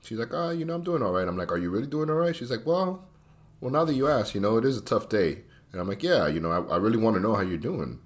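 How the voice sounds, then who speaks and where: A man's voice: on the low side, big pitch swings, fast talker. A man co-hosting a podcast, chatting loosely and playfully with plenty of swearing. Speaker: podcast co-host. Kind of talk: casual podcast chat.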